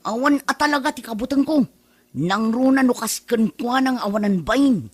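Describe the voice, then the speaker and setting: A woman's voice: a little high, moderate pace, slightly rough. A woman with an Ilocano accent playing a blunt, nagging, easily affronted woman in a comedy radio drama. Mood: indignant.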